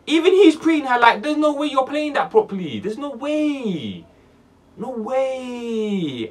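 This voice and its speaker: A young man in his twenties with a London accent, hosting a music reaction video in casual slang-heavy banter, swinging from loud bursts to low mutters. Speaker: music reaction channel host. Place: small dark room indoors.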